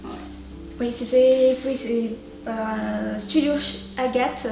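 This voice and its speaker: High-voiced woman chatting in an echoey room. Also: fairly even intonation, slow pace, gravelly, noisy background. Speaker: host of an unboxing video. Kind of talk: casual chat, showing items. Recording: roomy echo, music behind. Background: background music.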